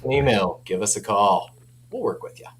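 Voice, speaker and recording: A man's voice: lowish voice, animated, normal speed, a little hiss or hum. A man speaking as a company guest in a casual product chat, informal and easygoing. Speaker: audio company representative, guest.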